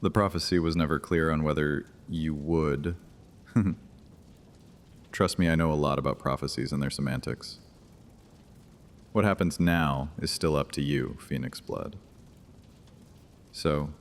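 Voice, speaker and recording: An actor playing a bitter, mocking, thousand-year-old vampire exile with a theatrical, sardonic delivery in an audio drama. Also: male voice, extremely low pitch, measured pace, pauses often. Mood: sardonic, taunting. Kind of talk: dramatic audio fiction acting.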